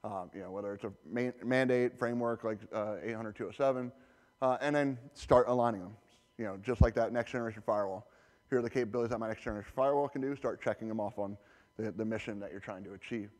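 A man's voice: fairly low pitch, fast talker, darkish tone. A man giving a conference talk as a presenter, conversational with many fillers and restarts. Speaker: conference presenter, security architect. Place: large conference hall. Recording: reverberant hall.

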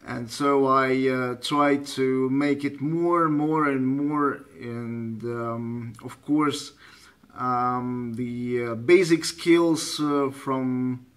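A man's voice: medium pitch, steady tone, drawn-out words, a bit bright, clean tone. A man with a Russian accent chatting as a ham radio operator, hesitant and searching for words. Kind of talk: casual radio contact chat. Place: indoors at a computer running radio software.

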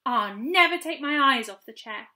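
Woman as a high-voiced little pixie fellow. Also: animated, moderate pace, sharp tone. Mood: obliging. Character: merry, obliging little male pixie, watchful and clever.

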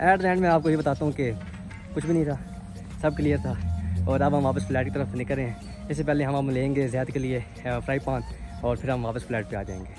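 A man in his twenties with a Pakistani accent chatting casually to his phone as a vlogger, explaining things and mixing in English words. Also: mid-range voice. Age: 20s. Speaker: vlogger, university student. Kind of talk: casual vlog chat to camera. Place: city streets outdoors and inside shops. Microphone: phone at arm's length. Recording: phone vlog with music laid over. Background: background music.

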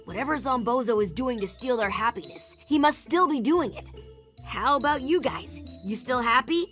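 A brash young boy hero in a cartoon game. The voice is high and lively, tossing out casual wisecracks with cocky confidence over faint music. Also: moderate pace. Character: cocky, impulsive ten-year-old boy hero.

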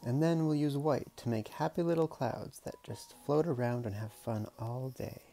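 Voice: mid-range voice, moderate pace, mellow, soft background music. A storyteller reading a picture book aloud in a casual, playful way, with chatty murmured asides.

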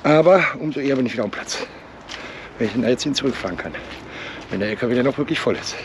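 A hoarse man in his forties with a Ruhr German accent talks through a cold in a loose, rambling way, full of fillers and restarts. His voice sits at an ordinary middle pitch. Loud engine and road noise rumble under him, picked up by an action camera in a truck cab. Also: animated, quick pace. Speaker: truck driver vlogger. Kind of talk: casual vlog chat. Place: truck cab and outdoor yards. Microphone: action camera on dashboard or held at arm's length. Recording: loud vehicle and outdoor noise.

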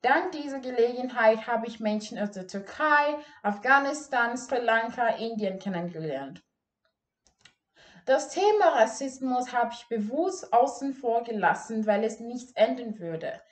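A teenage girl from Ghana, whose first language is Ga, gives an earnest and measured school speech in a plain indoor room, recorded by a camera set some distance away. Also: a little high, lively intonation. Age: teens. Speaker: student speech contestant. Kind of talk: memorized bilingual speech. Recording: echoey room.

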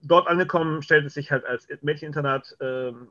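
A man chatting casually as an interview guest, often halting as he searches for words. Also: on the high side, pushed and bright. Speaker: radio play director and interview guest. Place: home, video call. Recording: slightly dull video call audio.

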